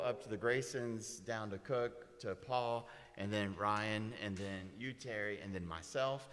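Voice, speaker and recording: A man's voice: lowish voice, a bit bright. A man opening with a prayer, then hosting a church discussion group in an easygoing, meandering way. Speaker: class leader and host. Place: church meeting room. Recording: roomy hall reverb.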